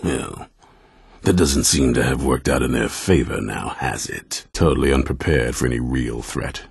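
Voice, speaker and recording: An actor playing a grim, distrustful, battle-hardened vampire hunter commander in a video game, with a gruff, blunt, stern delivery and a gravelly, husky voice. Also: male voice, extremely low pitch, normal speed, no echo, clean studio sound, full character voice. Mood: scornful, bitter. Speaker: leader of an order of vampire hunters. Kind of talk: video game character dialogue.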